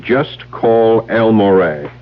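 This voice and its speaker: An actor playing a brisk, insistent and romantic American investigator in a 1970s radio mystery drama. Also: male voice, on the low side. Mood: casual, concerned. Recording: muffled, humming old radio broadcast recording.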